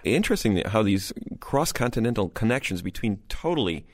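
Male co-host, slightly deep, easygoing, talking and questioning conversationally. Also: big pitch swings, fairly quick. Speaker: music show co-host. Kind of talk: conversational interview.

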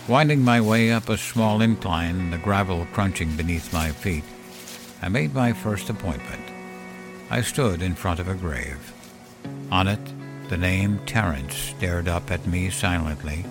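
Low-voiced man narrating a solemn story. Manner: measured, solemn, reflective storytelling. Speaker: narrator of a horror fiction podcast. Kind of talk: scripted first-person story narration. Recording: music behind the voice. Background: clear music bed.

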